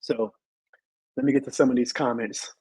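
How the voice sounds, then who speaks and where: A hoarse man who has lost his voice, hosting a live sports show and ranting with emphatic repeated lines. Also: medium pitch, fairly even intonation, normal speed, mellow, no room sound. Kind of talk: live sports rant and chat reading.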